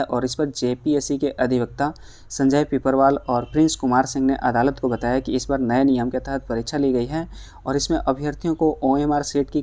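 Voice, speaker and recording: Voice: mid-range voice, dark tone. A news presenter giving explanatory commentary for online viewers, addressing them as friends.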